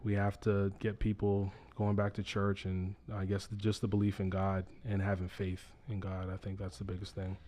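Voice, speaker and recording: A man in his twenties from upstate New York, answering interview questions in a calm, low-key way. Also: normal speed, hardly any pauses. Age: 20s. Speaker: guest.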